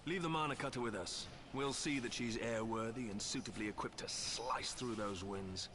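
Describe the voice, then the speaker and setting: A man's voice: medium pitch, normal speed, background noise, close to an everyday voice. A man playing a proud, witty veteran airship engineer in a game cutscene, eloquent and self-satisfied. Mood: composed. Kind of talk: scripted game cutscene acting.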